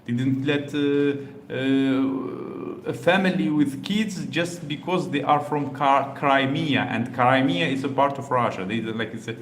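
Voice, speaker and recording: Man, from Algeria, reaction host, halting and filler-heavy delivery, roomy echo, faint hum behind. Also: mid-range voice. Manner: halting, many fillers and restarts. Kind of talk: casual commentary on a video.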